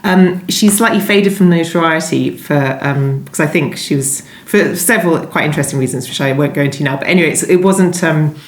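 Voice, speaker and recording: A woman's voice: low voice, fairly quick, hardly any pauses. A woman giving conversational, discursive interview answers as a guest author, often rephrasing as she goes. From London, England. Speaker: guest novelist being interviewed. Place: indoors at home. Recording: echoey room.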